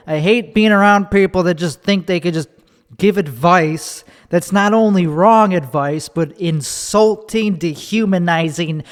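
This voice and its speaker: A man with a Chicago accent rants in a rambling solo podcast, swearing often and breaking off his sentences. His voice sits a bit high for a man. The recording rings with a long reverb tail. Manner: profane, rambling, restarts mid-sentence. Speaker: comedian and podcast host. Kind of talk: ranting solo podcast monologue. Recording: long reverb, echoey room.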